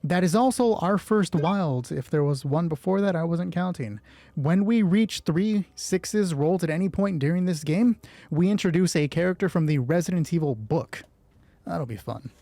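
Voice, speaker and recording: A man's voice: on the high side, animated, moderate pace, dark tone, no echo. A man hosting a solo tabletop roleplay, improvising narration and thinking aloud as he corrects himself. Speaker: solo roleplay host and game master.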